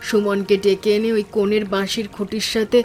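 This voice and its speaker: A woman voicing a practical, gentle, hardworking village mother in an animated story. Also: medium pitch, brisk, lightly acted. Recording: voice over background music.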